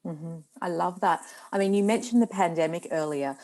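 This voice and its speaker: A woman's voice: fairly low pitch, normal speed, a little mellow. A woman hosting a podcast, asking a guest long, appreciative questions. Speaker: podcast host. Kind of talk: interview questions.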